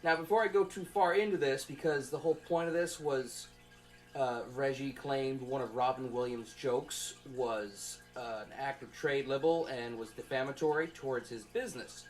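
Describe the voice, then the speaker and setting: A young man giving an informal student presentation from notes, in a halting, conversational way. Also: mid-range voice, soft background music. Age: young adult.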